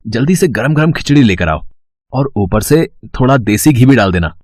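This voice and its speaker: An actor playing a soothing, conciliatory young husband in a family story. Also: male voice, medium pitch, expressive, moderate pace, darkish tone, no room sound, lightly acted. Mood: eager.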